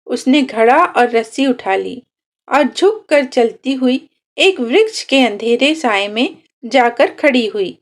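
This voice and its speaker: A woman narrates a short story in a high voice. Her reading is very slow and deliberate, with lively, dramatic rises and falls. It is expressive scripted storytelling.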